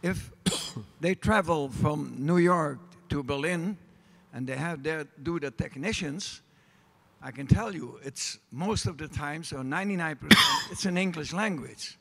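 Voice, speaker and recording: A man speaking on a business panel, emphatic and animated, coughing now and then. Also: on the high side, measured pace, creaky. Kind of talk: panel discussion.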